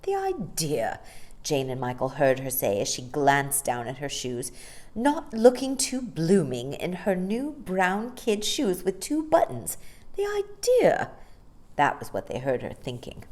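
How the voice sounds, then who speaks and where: A woman with a slightly low voice narrates a children's storybook. Her delivery is expressive, with pitch that moves widely through each sentence. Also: a little slow. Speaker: storytime reader.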